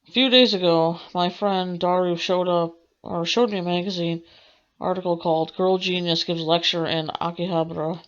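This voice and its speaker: A woman reading a visual novel aloud as a let's play, with a halting delivery that corrects itself. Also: lowish voice, steady tone. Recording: dull lo-fi mic with music behind. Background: game music.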